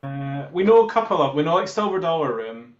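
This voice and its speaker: A man's voice: a little high, very animated, brisk. A Scottish man in a band, chatting casually as an interview guest. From Scotland. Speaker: band member being interviewed. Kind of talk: casual chat. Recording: roomy, echoing, dull call audio.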